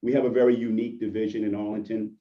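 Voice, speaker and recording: A hoarse man, a deputy police chief, giving an earnest presentation on a video call. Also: lowish voice, flat delivery, rapid-fire. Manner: earnest, appreciative, restarts often. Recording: distant muffled video call audio.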